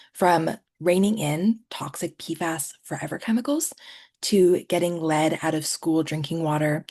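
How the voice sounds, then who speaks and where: A woman opens a webinar over a video call, reading her welcome and housekeeping notes from a script. Her voice is breathy and lively, rising and falling a lot as she goes, and sits at a middle pitch. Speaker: webinar host. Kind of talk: reading an introduction.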